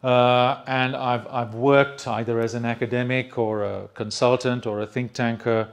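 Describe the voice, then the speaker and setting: An older man from Sri Lanka giving an academic lecture in a conference room into a handheld mic, measured, with many fillers and restarts. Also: mid-range voice, flat delivery. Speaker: economics lecturer. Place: conference room with projector screen.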